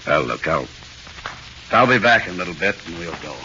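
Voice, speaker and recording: An actor playing an awkwardly sympathetic cop with an American accent, halting and slangy, in 1940s radio drama. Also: male voice, deep voice, animated, pushed and bright, heavily acted. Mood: uneasy sympathy. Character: gruff, awkwardly sympathetic male cop guarding a condemned prisoner. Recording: dull noisy 1940s radio recording.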